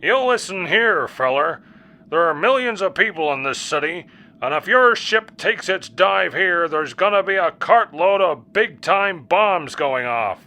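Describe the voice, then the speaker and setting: Gravelly, blustering American general. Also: male voice, high-pitched, lively intonation, a little slow, pitched above the performer's own voice, full character voice. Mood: angry, threatening. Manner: forceful, barking, blunt. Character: gruff, blustering American army general, hard-jawed and threatening. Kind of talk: audio story character acting.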